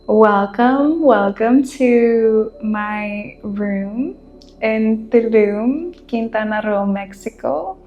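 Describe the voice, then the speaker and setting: A woman whose first language is Spanish, hosting her podcast in a relaxed, rambling monologue from her room, laughing often. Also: a little high, drawn-out words, roomy sound, narrow, tinny audio. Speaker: podcast host. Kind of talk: casual monologue.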